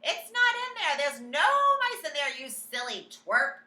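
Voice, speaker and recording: A woman voicing a gang of grown men cooks shouting mocking insults. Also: high-pitched, very animated, a little slow, roomy sound, voice pushed up, full character voice. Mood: scornful.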